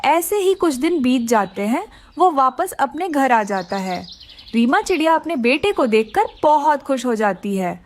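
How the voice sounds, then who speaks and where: Female narrator, high pitch, lively intonation, scripted children's storytelling. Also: a little slow.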